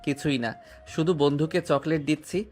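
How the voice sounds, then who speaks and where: A man's voice: fairly high pitch, fairly even intonation, normal speed, natural voice. An actor playing a playful, persistent young man who courts a woman with teasing affection. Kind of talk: Bengali audio drama acting. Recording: music underneath.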